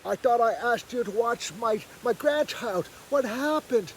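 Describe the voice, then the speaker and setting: A frantic old man cries out at a high, strained pitch over his lost treasures, as a storyteller puts him on. The voice lifts well above the teller's own and carries a faint hiss behind it. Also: expressive, measured pace, full character voice. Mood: accusing.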